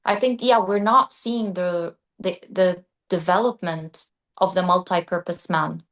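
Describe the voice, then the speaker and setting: A woman who grew up in Qatar, co-hosting a podcast and discussing ideas in a thoughtful, measured way. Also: fairly low pitch, steady tone, fairly bright tone. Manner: thoughtful, measured, restarts mid-phrase. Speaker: podcast co-host. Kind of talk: casual discussion.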